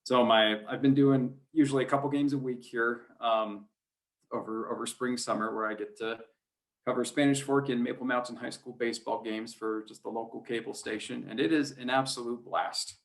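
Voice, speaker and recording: Guy guest on a podcast, normal pitch, rambles a bit, good-humored, casual. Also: steady tone, moderate pace. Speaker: interview guest, sports play-by-play announcer. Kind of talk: casual chat.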